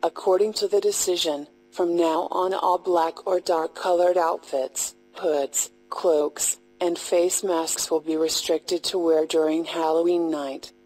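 Low-pitched woman reading a formal emergency alert. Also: flat delivery, a little mellow, faint music behind, poor audio. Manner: measured, impersonal reading. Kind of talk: formal scripted emergency announcement.

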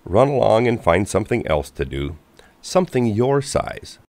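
A sleek female passenger engine in a children's storybook, voiced by a man. She is important and condescending, with brisk, lively intonation in a dry recording. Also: lowish voice, dry recording.

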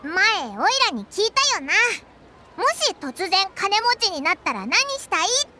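A woman voicing a tiny, cheeky, loyal fairy companion in a game, squeaky, childlike and boastful. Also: very animated, moderate pace, background noise. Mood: earnest, recalling. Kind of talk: Japanese game voice acting.

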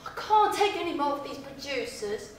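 A woman's voice: high voice, sing-song, normal speed, voice pushed up. A young woman playing a brash, vain, exasperated actress in a sassy, theatrical comic stage monologue. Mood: indignant, confiding. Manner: sassy, theatrical, swearing confidante. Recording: very echoey, distant, noisy room.

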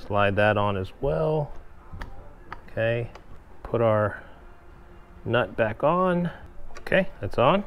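A man casually walking viewers through a step-by-step install in a garage workshop, talking straight to them. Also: on the low side. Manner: relaxed, explains step by step, addresses viewers directly. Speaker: kayak fishing vlogger. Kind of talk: casual hands-on tutorial.

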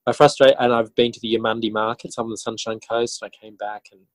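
A man with an Australian accent answering interview questions conversationally, reflective and often halting to rephrase as he tells his story. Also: mid-range voice, little pitch movement, dry recording. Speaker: interview guest, author and teacher.

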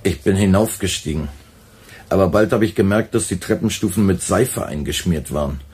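Husky, dry intellectual editor. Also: male voice, deep voice, normal speed, a little mellow. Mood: uneasy recounting. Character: dry, intellectual male radio editor, weary and wry. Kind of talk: German radio play acting.

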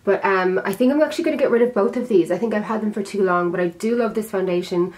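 A young woman vlogging casually at her makeup drawers, chatty and self-deprecating, her voice a little raspy from being sick. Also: mid-range voice, fast, darkish tone. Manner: chatty, self-deprecating, talks while handling products. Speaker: beauty vlogger. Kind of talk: casual chat to camera.

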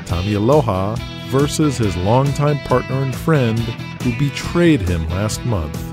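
A man narrating an audio drama in a measured storytelling manner. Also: medium pitch, lively intonation. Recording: narration over guitar music.

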